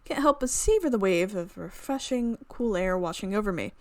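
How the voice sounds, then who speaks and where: A woman's voice: mid-range voice, lively intonation, moderate pace, a little mellow, dry recording. A young woman reading a visual novel aloud, breaking off for chatty, amused asides about the script. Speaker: visual novel let's player. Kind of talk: reading a game aloud with casual commentary.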